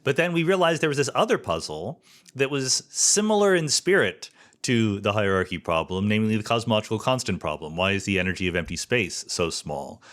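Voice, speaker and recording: A man's voice: lowish voice, very animated, brisk, fairly bright tone. A man hosting a podcast interview, carefully summarizing ideas in his own words, with some stammered restarts. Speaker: podcast host.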